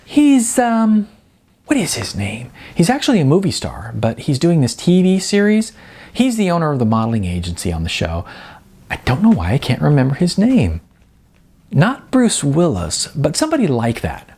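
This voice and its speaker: Narrator putting on a sweet ditzy young actress, gushy and halting, high and swoopy, audiobook style. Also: wildly swooping pitch, mellow, slightly rough, exaggerated voice. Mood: chatty, scatterbrained. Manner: gushing, halting and breathless delivery.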